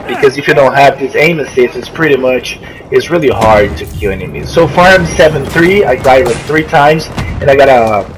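A man's voice: mid-range voice, expressive, over music. A young man from Brazil with a Brazilian Portuguese accent, a non-native English speaker who halts and restarts while commenting on his gameplay. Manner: halting, searching for words, many restarts. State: coughing from allergy. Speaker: gamer. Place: friend's house while traveling. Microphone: makeshift mic, not his usual. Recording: noisy, poor-quality home recording.

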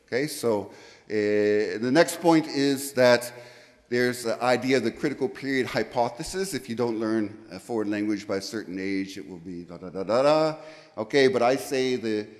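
A man's voice: medium pitch, moderate pace. A middle-aged man from the United States giving a conference presentation into a handheld microphone, rambling and correcting himself, often checking in with "okay?". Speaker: conference speaker. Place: large lecture room, indoors. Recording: reverberant hall.

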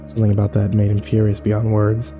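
A man's voice: fairly low pitch, monotone, a little fast, music in the background, natural voice. A man playing a sarcastic, battered young man who narrates his own horror audio drama with wry, sweary self-deprecation. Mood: tense narration.

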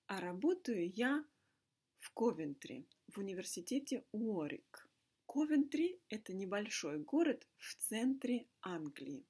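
A woman teaching a language lesson in deliberate, simple, carefully repeated phrases. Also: medium pitch, darkish tone, slightly rough. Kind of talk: slow scripted language lesson monologue. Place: indoor room with a fireplace.

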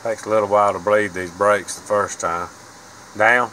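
A man's voice: on the low side, steady tone, normal speed, pushed and bright, slight background noise, rough recording. A man, talking casually and plainly as he drives and works on a race car. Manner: folksy, plain-spoken, rambling. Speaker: vlogger and racer. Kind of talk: casual vlog narration. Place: inside a truck cab, then a race car cockpit.

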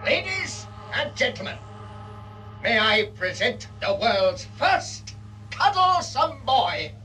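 Male actor as fanatical, impatient scientist for sci-fi radio drama, extremely expressive. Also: extremely high pitch, slow, faint music behind, exaggerated voice. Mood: grandiose. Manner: grandiose, impatient. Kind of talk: audio drama acting.

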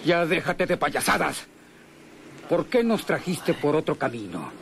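An actor playing an impatient, abusive bandit boss in a radio drama, with a Mexican accent. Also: male voice, a little high, sing-song, a little slow, vocal fry, exaggerated voice. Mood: impatient, threatening. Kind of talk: radionovela acting. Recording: noisy old radio drama recording.

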